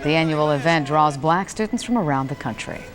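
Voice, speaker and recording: A woman's voice: lively intonation, fairly quick, over music. A woman news anchor reading scripted stories in a TV broadcast. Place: TV news studio. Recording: faint low background noise, 1990s TV broadcast.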